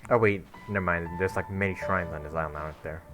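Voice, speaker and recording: Low-voiced man in casual game commentary. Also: fairly even intonation, quick pace. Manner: casual, swearing, laughing asides. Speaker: gaming streamer. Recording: game music playing under voice.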